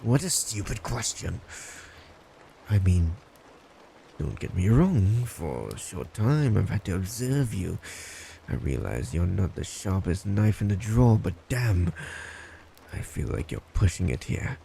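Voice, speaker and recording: A man's voice: low voice, big pitch swings, a little slow, gravelly, warm tone, a little hiss or hum, heavily acted. A man playing a blunt, smug, creepy lovestruck stalker in an intimate boyfriend roleplay, condescending and teasing. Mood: condescending, fond. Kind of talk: boyfriend roleplay audio, intimate scripted monologue.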